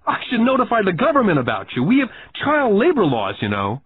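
A man voicing an indignant nine-year-old boy who confronts his boss, high, pleading and whiny. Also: wildly swooping pitch, brisk, slightly rough, dry recording, exaggerated voice. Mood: angry. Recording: tinny phone-like band.

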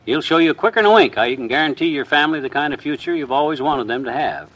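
An actor playing a folksy, easygoing husband in an old-time radio sponsor skit. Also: male voice, a little high, expressive, fast, loud music behind the voice, lightly acted, poor audio. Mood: folksy, sincere. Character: folksy, easygoing husband pitching life insurance.

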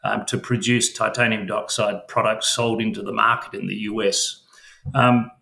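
A man's voice: fairly low pitch, level tone, moderate pace, creaky, pushed and bright, roomy sound. A middle-aged Australian man with an Australian accent, answering interview questions as a mining company chief, hesitant and full of fillers and restarts.

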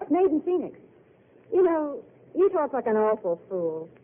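A dreamy, eager young diner waitress. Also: female voice, sits high, very animated, measured pace. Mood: earnest. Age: young adult. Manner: eager, earnest, outspoken. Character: dreamy, earnest young woman at a desert diner. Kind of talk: 1930s radio drama acting. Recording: tinny old radio broadcast with faint hiss.

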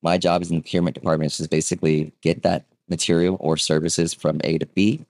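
This is a man in his thirties with a very deep voice, talking as a guest on a casual podcast. He tells his story in a loose, chatty way, peppered with "um" and "right?", and acts out the people he quotes. The recording is dry, with no room echo. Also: flat delivery, fast, darkish tone. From Vietnam. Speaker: guest, real estate agent.

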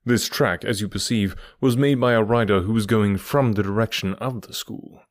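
A man voicing a brisk, methodical middle-aged male detective who explains his reasoning with precise confidence. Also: fairly low pitch, no echo, clean studio sound, lightly acted. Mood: lecturing.

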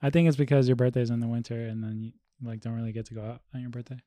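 A man on a podcast who speaks quickly, dropping short teasing interjections into the chat. His voice sounds dry and close, with no room around it. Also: mid-range voice, little pitch movement, warm tone, dry recording. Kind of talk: casual chat.

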